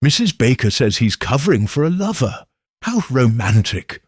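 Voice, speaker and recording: A man voicing an excitable young vicar's wife, talking in an eager, breathless, gossipy way. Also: medium pitch, moderate pace, breathy edge, no echo, clean studio sound. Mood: excited gossip.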